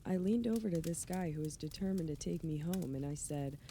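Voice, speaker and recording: A woman's voice: sits low, fairly quick, dark tone. A woman acting a breezy, witty friend in a conversational radio play. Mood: amused. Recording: faint background noise.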